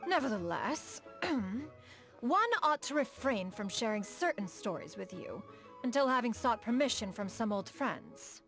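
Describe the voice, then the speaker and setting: A proud adeptus noblewoman and inventor for a video game: high, expressive delivery, stately archaic phrasing. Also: unhurried, soft background music, full character voice. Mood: pleased, indulgent. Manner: formal, archaic, lofty diction, speaks of herself as "one". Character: proud, refined immortal adeptus woman, inventor of mechanical contraptions. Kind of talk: scripted video game character dialogue.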